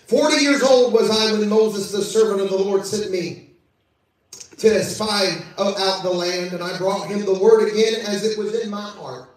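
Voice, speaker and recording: A man's voice: sits high, a little slow, recorded from a distance, slight background noise. A man preaching a sermon in a church service, reading scripture aloud and calling out to the congregation. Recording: echoey church from off-mic.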